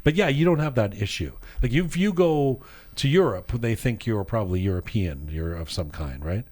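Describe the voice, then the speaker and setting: A low-pitched man chatting as a podcast host in a studio. He talks in a rambling, animated way, often restarting his sentences as he tells stories. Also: moderate pace. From Iranian heritage. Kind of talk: casual chat.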